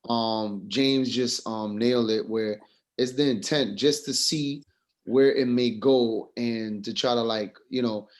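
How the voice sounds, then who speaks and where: A man talking casually in a class discussion, haltingly working through his point as he speaks. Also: mid-range voice, steady tone. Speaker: participant in a film class group meeting.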